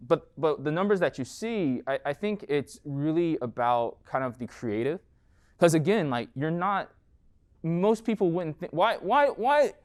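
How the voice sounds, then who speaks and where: The smooth-voiced American guy at the front of a college classroom, chatting students through how he runs his business. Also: medium pitch. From United States. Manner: conversational, peppered with "like" and "right?". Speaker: entrepreneur giving a guest lecture. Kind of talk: informal talk and Q&A.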